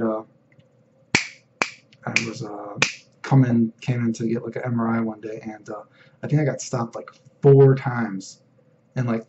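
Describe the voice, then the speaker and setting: A young man with a deep voice, rambling casually in a personal vlog, full of fillers and "you know what I mean.". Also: mellow, lo-fi sound, a little hiss or hum. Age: young adult. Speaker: vlogger.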